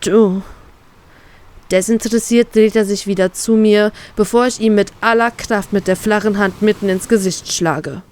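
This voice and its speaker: A woman reading her own fan fiction aloud in an expressive, emotional way. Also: mid-range voice, normal speed, hardly any pauses. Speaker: fan fiction author and reader.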